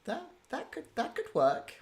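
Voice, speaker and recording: A woman's voice: fairly high pitch, wildly swooping pitch, a little slow. A woman hosting a casual interview, talking informally and rambling as she interrupts herself. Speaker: interviewer, podcast creator. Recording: clear ambient background noise.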